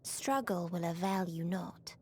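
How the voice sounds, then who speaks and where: A woman's voice: lowish voice, slight background noise, natural voice. A woman voicing a despairing otherworldly hive-mind creature in a video game, speaking solemnly in archaic phrasing. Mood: bleak, resigned. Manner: solemn, archaic, halting delivery. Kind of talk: scripted game cutscene acting.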